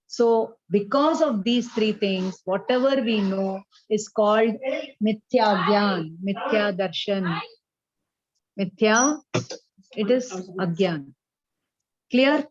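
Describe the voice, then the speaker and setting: A woman with an Indian accent giving a spiritual class, explaining deliberately and checking that listeners follow. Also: fairly high pitch, highs cut off. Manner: didactic, deliberate, checks understanding with questions. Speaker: religious teacher.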